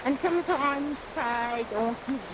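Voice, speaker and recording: An actress playing a comfortable, coquettish widow who runs a pie shop, fussing and gushing in vintage radio melodrama. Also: female voice, sits high, unhurried. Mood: gushing, coy. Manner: gushing, solicitous. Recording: hissy, noisy vintage radio recording.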